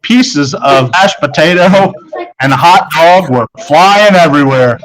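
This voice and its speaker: A man's voice: fairly high pitch, slow, fairly bright tone, rough recording. A man narrating a children's book in the first person, reading from the text as a storyteller.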